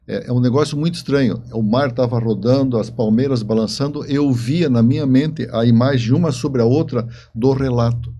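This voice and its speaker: A man's voice: mid-range voice, mellow, slightly rough. A man speaking as an interview guest, explaining his books in an emphatic, repetitive conversational way. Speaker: guest author.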